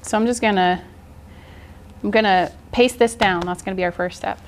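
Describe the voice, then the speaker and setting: A woman's voice: mid-range voice, normal speed. Casual woman teaching crafts over background noise. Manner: informal, encouraging. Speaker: art journaling instructor. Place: indoor art studio, seated at work table.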